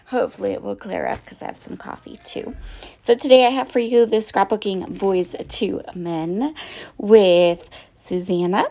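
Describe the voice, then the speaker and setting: A woman's voice: medium pitch, a little slow, creaky, warm tone. A middle-aged woman with a slightly froggy voice, chatting casually and laughing at herself while narrating her scrapbooking process. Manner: chatty, self-deprecating, laughs often. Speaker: scrapbooking channel host. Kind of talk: crafting process voice-over.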